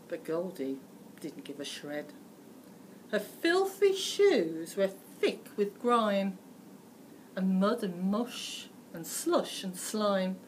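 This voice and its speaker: A British woman reading a rhyming storybook aloud like a teacher, theatrical and emphatic, with some background noise. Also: lowish voice. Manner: theatrical, emphatic, deliberate phrasing. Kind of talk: dramatic rhyming storybook narration.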